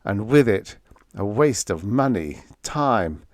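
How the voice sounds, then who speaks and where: A man reading scripted story narration for an audiobook in an expressive, dramatic manner. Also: on the low side, slow pace, no room sound, studio quality.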